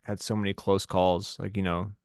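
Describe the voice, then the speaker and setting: A man's voice: low voice, level tone, moderate pace, darkish tone, no room sound, studio quality. A young man co-hosting a podcast in easygoing casual chat, asking questions and steering the talk. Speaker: podcast co-host.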